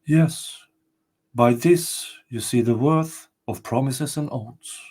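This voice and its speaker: A man voicing a calm, solemn female spirit from a storybook folktale, speaking in a measured way. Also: medium pitch, voice pushed up.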